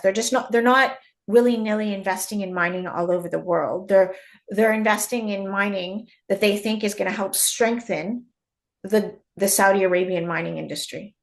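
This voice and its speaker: Canadian-born woman, halting explanatory talk. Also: mid-range voice. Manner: frequent restarts and repeated words, thinking aloud. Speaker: guest, mining industry expert. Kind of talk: conversational interview.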